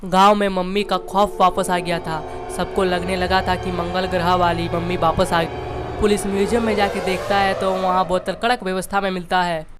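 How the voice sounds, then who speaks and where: A cartoon story narrator delivers scripted lines. The voice sits at a middle pitch, with music clearly playing behind it. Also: flat delivery, moderate pace. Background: background music.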